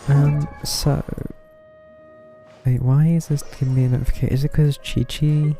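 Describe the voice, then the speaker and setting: A man giving casual, low-key live commentary on a game, half talking to himself as he plays. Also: level tone, moderate pace. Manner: low-key, muttering to self while playing. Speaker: game streamer. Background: game music.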